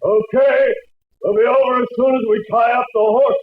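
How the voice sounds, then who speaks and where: A man playing a country sheriff in a 1940s radio drama, calling out loudly. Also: extremely high pitch, fairly even intonation, moderate pace. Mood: hailing. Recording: muffled old radio recording, faint noise.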